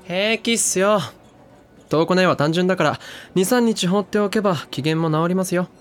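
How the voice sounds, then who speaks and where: A man's voice: sits high, moderate pace, close to an everyday voice. Man playing a breezy, cocky teenage boy. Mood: breezy, curious. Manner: casual slangy speech, confident. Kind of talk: Japanese anime audio drama acting.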